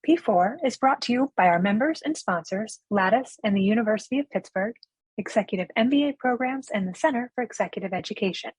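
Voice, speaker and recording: A woman, the organization's executive director, reading a scripted podcast introduction in a measured, announcer-like way. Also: on the high side, expressive, darkish tone, slightly rough, dry recording, rough recording.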